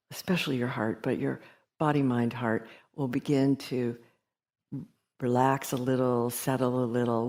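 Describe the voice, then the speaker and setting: Soft-spoken woman teaching meditation. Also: very deep voice. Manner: soft, hesitant, many fillers and pauses. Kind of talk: gentle retreat teaching talk. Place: meditation hall.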